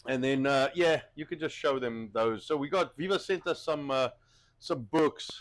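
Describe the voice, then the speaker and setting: A man's voice: mid-range voice, moderate pace. A man hosting a casual cooking livestream, rambling and repeating himself while getting over a cough with a wheezy chest. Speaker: host of a cooking show.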